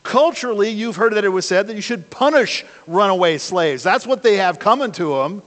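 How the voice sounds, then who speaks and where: An older man preaches from a pulpit, asking his congregation one rhetorical question after another in an easy, conversational way. His voice sits a little high for a man. The sound comes from a camera at the back of the sanctuary, so long church reverb trails every phrase. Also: lively intonation, fairly quick, a bit bright. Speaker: pastor. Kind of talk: sermon. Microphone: far camera at the back of the church.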